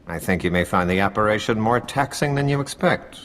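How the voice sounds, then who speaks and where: A man's voice: on the low side, lively intonation, a little fast, fairly bright tone, slight background noise. A man playing a perfectionist military medic in a video game, speaking in a haughty, precise, formal manner. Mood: condescending. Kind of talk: video game cutscene acting.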